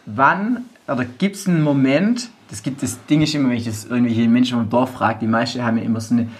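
Host, man, average pitch, loose slangy delivery, laughs easily. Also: brisk. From southwest Germany. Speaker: podcast host. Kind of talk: casual chat. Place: house in a village.

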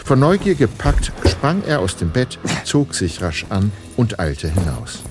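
Man, story narrator, fairly deep, expressive intonation, prominent music underneath. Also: unhurried. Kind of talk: scripted audio drama narration. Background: clear music.

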